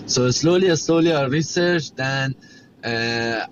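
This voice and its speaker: A middle-aged man with a Persian accent tells his story in halting, repetitive learner's English. His voice sits at an ordinary pitch for a man. The audio is somewhat muffled, with clear noise underneath. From Iran. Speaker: guest, chef and cafe owner. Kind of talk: interview storytelling.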